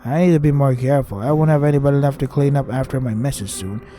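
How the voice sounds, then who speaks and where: A man's voice: medium pitch, fast talker, mellow, soft background music, natural voice. A man voicing a flippant, spoiled young princess in a visual novel, prim, chatty and self-absorbed. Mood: airy, spoiled.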